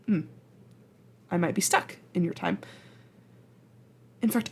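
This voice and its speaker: A woman playing a flustered, awkward, chatty time traveler from the future in an ASMR roleplay, halting and rambling as she speaks to the listener. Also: mid-range voice. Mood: dawning worry.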